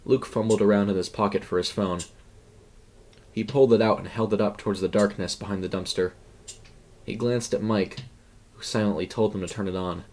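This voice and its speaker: A man's voice: lowish voice, steady tone, brisk, a little mellow. A man narrating a scripted horror story. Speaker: narrator and voice performer.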